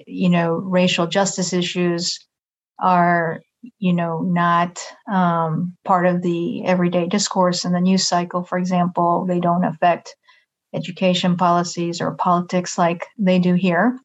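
A middle-aged woman from Japan, a native Japanese speaker, giving measured, thoughtful interview answers and choosing her words carefully. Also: lowish voice, completely flat delivery, muffled recording. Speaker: interview guest.

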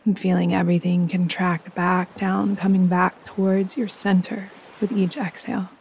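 A woman guiding a yoga nidra meditation in a soft, breathy voice, calm, soothing and unhurried. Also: lowish voice. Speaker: meditation guide and host.

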